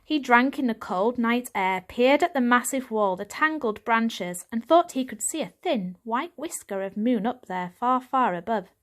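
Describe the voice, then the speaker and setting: A woman's voice: fairly high pitch, lively intonation, moderate pace, few pauses. A woman reading a children's story aloud as scripted audiobook narration. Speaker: audiobook reader.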